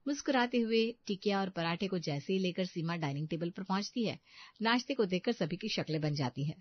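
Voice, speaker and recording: A woman's voice: lowish voice, expressive, brisk, dry recording, lo-fi sound. A woman narrating a scripted family story as a storyteller.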